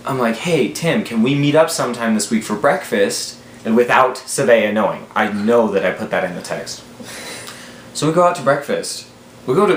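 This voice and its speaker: A man in his twenties with an American accent, telling a story casually and animatedly, quoting dialogue as he goes. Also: mid-range voice. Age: 20s. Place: small room at home, indoors. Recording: roomy echo, faint background noise.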